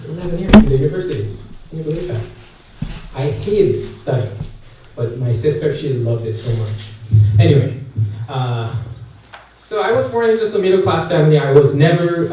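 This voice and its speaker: A man's voice: mid-range voice, dark tone. Man, thirties, Korean accent, chatty speaker with fillers, very echoey hall, distant mic. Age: 30s. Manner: chatty, asks the audience questions, many fillers. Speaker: guest speaker at a youth camp. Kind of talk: personal testimony talk. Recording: echoey hall, mic far off, faint noise.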